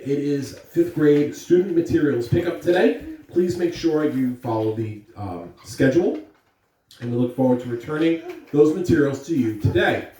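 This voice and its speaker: Woman doing school announcements n storytime, really up and down pitch, mic kinda far off. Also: dark tone. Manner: deliberate, encouraging speech to young children. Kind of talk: morning announcements and children's read-aloud. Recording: echoey room, distant mic, faint noise.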